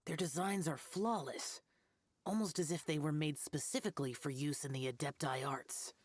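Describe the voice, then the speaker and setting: An actress playing a stern, formal master of an ancient ritual art, instructing in measured tones in a video game. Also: female voice, low voice, dry recording.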